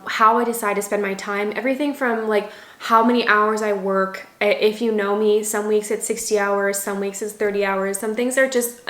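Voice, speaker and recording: A woman's voice: medium pitch, a bit bright. A woman vlogger talking candidly and emotionally to her viewers, with frequent "like" fillers. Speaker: lifestyle vlogger, business owner. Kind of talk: candid personal vlog, talking to camera. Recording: echoey room.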